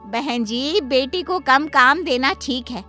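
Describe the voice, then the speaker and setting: A meddling neighbor lady in a cartoon story, as a woman puts her on, with a very high, shrill voice. She lectures with busybody certainty, and soft music plays faintly behind her. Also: slow pace, heavily acted. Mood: lecturing. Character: nosy preachy middle-aged female neighbor.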